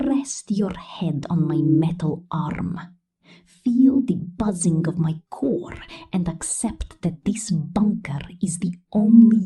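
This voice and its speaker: A possessive female robot drone, acted by a woman in an intimate ASMR roleplay. Her delivery is very slow and slightly low, breathy and often whispered. The tone is very mellow and dark, with a cold, menacing tenderness. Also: exaggerated voice. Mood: controlling, intimate.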